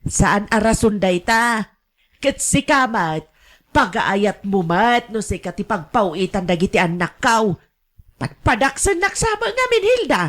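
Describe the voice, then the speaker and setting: A woman's voice: medium pitch, very animated, unhurried. A woman with a Filipino accent playing a brash, scolding older mother who gambles, in Ilocano radio drama style. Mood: pleading.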